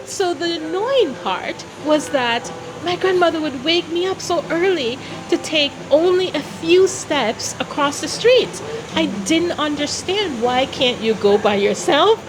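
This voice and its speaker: Higher-pitched woman storyteller, clearly noisy background. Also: animated, measured pace. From Jamaica. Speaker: interview guest, founder of a philanthropy organization. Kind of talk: personal storytelling in an interview.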